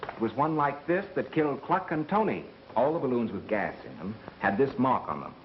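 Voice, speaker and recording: An American actor playing a brisk, bossy amateur sleuth with a wry edge, in 1930s film style. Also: male voice, mid-range voice, very animated, lightly acted. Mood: urgent. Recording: dull, noisy vintage film soundtrack.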